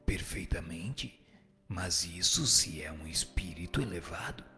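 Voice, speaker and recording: A man voicing a solemn spirit who teaches in a measured, declamatory, didactic way in a radio drama. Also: sits low, animated, bright, forceful tone, soft background music, full character voice. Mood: solemn, instructive. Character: solemn male spirit answering questions and dictating teachings. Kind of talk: dramatized reading of a spiritist text.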